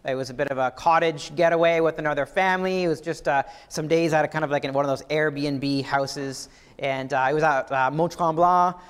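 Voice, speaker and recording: High-voiced Canadian man preaching in a hall. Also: fairly even intonation, a little fast, smooth. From Ontario, Canada. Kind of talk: sermon. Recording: long hall reverb.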